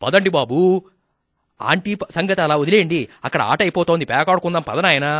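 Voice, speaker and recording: A man voicing a grumpy old retired village official in a radio comedy, gruff and curt. The voice sits high for a man and is heard dry and close to the mic. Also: pushed and bright, no echo. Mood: impatient. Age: older. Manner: gruff, curt, quick to take offence.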